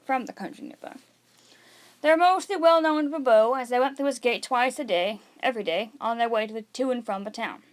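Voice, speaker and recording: Female amateur storybook reader, high register, narrating with occasional stumbles and self-corrections. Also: fairly quick. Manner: stumbles over words and corrects herself. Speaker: amateur reader of an audiobook read-along. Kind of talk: read-aloud storybook narration.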